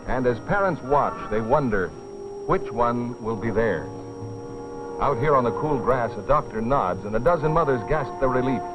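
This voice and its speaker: A man's voice: medium pitch, animated, moderate pace. A man delivering dramatic, solemn scripted narration for an educational film, with rhetorical weight. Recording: dull, dated film soundtrack with music.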